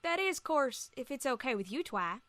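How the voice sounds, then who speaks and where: A woman's voice: high-pitched, very animated, moderate pace, a bit bright, no room sound. A woman voicing a folksy, plain-spoken young female farm pony with a Southern US accent in an audio story. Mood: easygoing, warm. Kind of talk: acted audio story dialogue.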